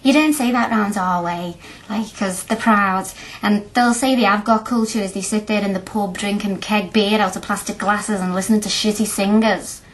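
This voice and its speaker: An actress playing a chatty, blunt young working-class student in a radio play, speaking colloquially and earnestly. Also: female voice, mid-range voice, fairly even intonation, normal speed, lightly acted, rough recording. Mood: earnest, impassioned.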